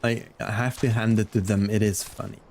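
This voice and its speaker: A man's voice: on the low side, little pitch movement, brisk, vocal fry, darkish tone, slight background noise. A man chatting casually and playfully with viewers as he plays and comments on a game on a live stream.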